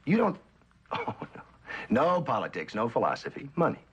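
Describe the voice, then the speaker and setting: An actor playing a sly, cynical spy and false friend in a theatrical mid-century TV drama style. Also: male voice, medium pitch, huge pitch swings, a bit bright, husky, heavily acted. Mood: musing, cynical. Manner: theatrical, sly, musing with dramatic pauses and chuckles. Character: suave, cynical spy, a traitorous best friend who loves the game. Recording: dull old television soundtrack.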